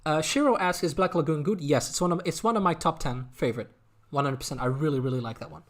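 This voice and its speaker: A man with a Dutch accent chatting casually as a streamer, answering viewer questions in a halting way, often repeating words as they think aloud. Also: a little high. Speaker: streamer, anime content creator.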